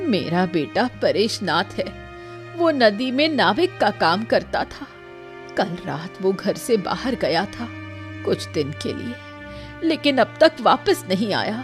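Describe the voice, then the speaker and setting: A woman playing a slow, pleading old mother. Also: mid-range voice, very animated. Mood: worried. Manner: pleading, plaintive. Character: anxious old mother pleading for missing son. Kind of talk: animated Hindi cartoon story acting. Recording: music under the voice.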